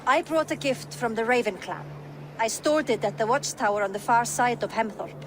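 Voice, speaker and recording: A woman's voice: on the high side, normal speed, over music, close to an everyday voice, rough recording. A woman playing an attentive, supportive Viking clanswoman in a video game cutscene. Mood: concerned, gentle.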